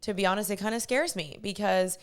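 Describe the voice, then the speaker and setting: A woman's voice: mid-range voice, brisk. A woman in her twenties hosting a podcast, chatty and bubbly, with lots of "like" in her casual talk. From United States. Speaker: podcast host. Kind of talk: casual podcast chat and intro.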